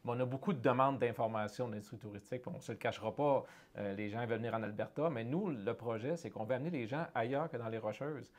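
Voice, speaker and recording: A man's voice: mid-range voice, quick pace, darkish tone. Earnest middle-aged Canadian French man. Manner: earnest, searching for words with frequent restarts. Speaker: guest, head of a francophone economic development organization. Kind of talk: conversational interview.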